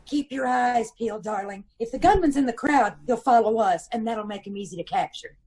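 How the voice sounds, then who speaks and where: A woman's voice: fairly high pitch, brisk, darkish tone, slightly rough. An American woman playing a plucky, teasing detective's wife in an old-time radio comedy, arch and playful. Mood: confident, coaxing.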